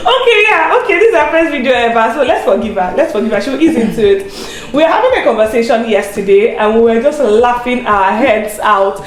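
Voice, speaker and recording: A woman's voice: a little high, a little fast, few pauses. Laughing woman in an echoey room. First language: Igbo. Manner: laughs through the telling, animated. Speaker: YouTube host. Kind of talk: casual storytelling chat.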